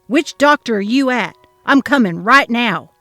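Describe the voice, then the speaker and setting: A woman voicing a jealous, demanding grandmother who talks loud and shrill. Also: animated, unhurried, no room sound, faint music behind. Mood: shrill, frantic. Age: older. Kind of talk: dramatic reading of a text-message story.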